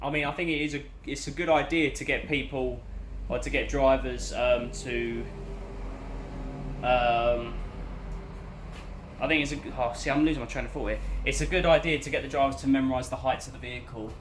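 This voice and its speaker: A young man with a London accent chatting casually as a bus driver, his voice hoarse and croaky, rambling with lots of fillers. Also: mid-range voice, steady tone, normal speed, roomy sound, noisy background. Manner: rambling, filler-heavy, loses his train of thought. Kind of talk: casual vlog chat. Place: inside a bus cab.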